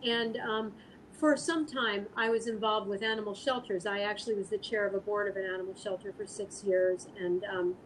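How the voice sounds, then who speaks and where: A woman speaking as an interview guest, answering casually in an earnest, halting, thoughtful way. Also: medium pitch, steady tone, slight background noise, rough recording. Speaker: guest artist and animal rescue volunteer.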